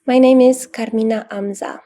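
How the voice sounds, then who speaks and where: A woman in her thirties from Romania with a Romanian accent, an astrologer answering interview questions thoughtfully, with pauses and fillers. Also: fairly high pitch, fairly even intonation. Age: 30s. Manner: thoughtful, many pauses and fillers, soft laughs. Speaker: astrologer guest.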